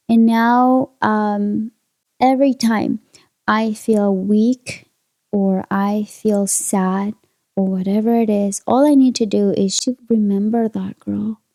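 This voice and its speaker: A young woman hosts a podcast in English, her first language being Spanish. She talks in an earnest, encouraging way and repeats her key lines for weight. Her voice is mellow with a gravelly edge, recorded close and dry. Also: medium pitch, no echo. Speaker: podcast host. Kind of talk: motivational podcast monologue.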